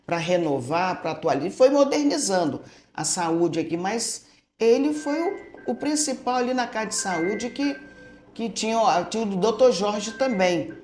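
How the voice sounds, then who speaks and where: An older woman, a retired school director, telling stories from her early years in a relaxed way and often tagging her sentences with "né?". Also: medium pitch. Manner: storytelling, tags statements with "né?". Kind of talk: reminiscing interview answers. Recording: echoey room.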